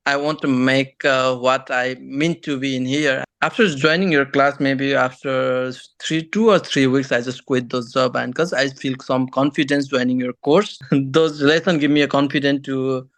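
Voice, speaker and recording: A young man from Nepal with a Nepali accent, answering interview questions in halting, non-native phrasing. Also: medium pitch, steady tone, fairly bright tone, dry recording. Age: young adult. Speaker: guest, engineering graduate and former student.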